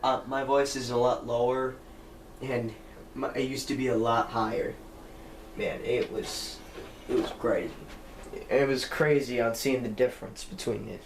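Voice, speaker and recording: A man's voice: medium pitch, fairly even intonation, unhurried. A teenage boy hosting his own gaming channel, chatting casually and rambling as he corrects himself mid-sentence. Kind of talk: casual let's-play chat. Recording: echoey room with faint background noise.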